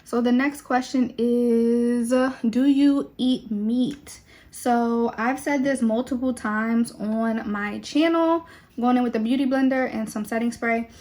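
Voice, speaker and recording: A young woman talks to her viewers in a loose, relaxed way. Her voice sits a little on the high side. She fills gaps with easy fillers as she chats while doing her makeup. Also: measured pace. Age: 20s. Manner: chatty and relaxed, with many fillers. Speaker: beauty vlogger.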